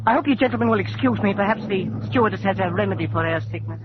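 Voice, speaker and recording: A man's voice: high-pitched, rapid-fire, background noise, lightly acted. An actor playing a fussy, timid male traveller with a fluttery, prim, hesitant manner, in 1940s radio style. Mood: flustered, queasy. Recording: muffled 1940s radio recording, music behind.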